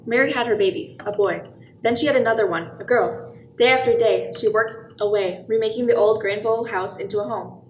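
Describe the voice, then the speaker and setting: A woman's voice: fairly high pitch, brisk, lightly acted. A woman playing the storytelling narrator of an amateur radio play, reading scripted narration. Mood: narrating. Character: storybook narrator recounting a small town's wartime years. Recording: echoey room with background noise.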